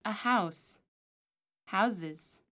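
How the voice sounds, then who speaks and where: A woman's voice: mid-range voice, drawn-out words, bright, forceful tone. A woman reading model dialogues and repeated words for a language course, articulating each one carefully. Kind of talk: scripted model dialogues and drills.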